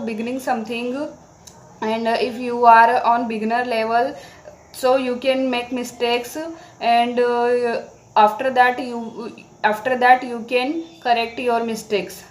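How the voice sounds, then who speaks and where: A woman with an Indian accent and Marathi as her native language, speaking halting, simple learner's English in an informal talk to viewers. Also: a little high, low-quality recording. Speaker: English-practice vlogger. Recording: background noise.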